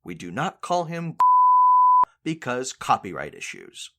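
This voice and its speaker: A man playing an earnest, slightly cowardly news reporter, narrating a mock documentary with a husky voice and tongue-in-cheek delivery. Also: mid-range voice, wildly swooping pitch, measured pace, fairly bright tone, full character voice. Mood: narrating, intrigued.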